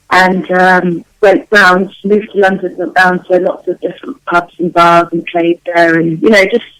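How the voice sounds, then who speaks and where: A woman singer being interviewed, her voice worn out and going hoarse, chatting loosely with lots of ums and restarts. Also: medium pitch, a bit bright, dry recording, low-quality recording. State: losing her voice. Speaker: guest vocalist. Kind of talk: casual interview answers.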